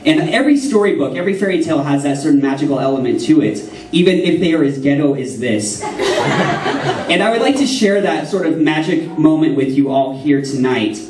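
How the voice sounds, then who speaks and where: A man's voice: on the high side, animated, fairly quick, noisy background. A man performing a joking storybook routine on stage for a live audience, chatting and laughing between lines. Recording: roomy hall sound from far off.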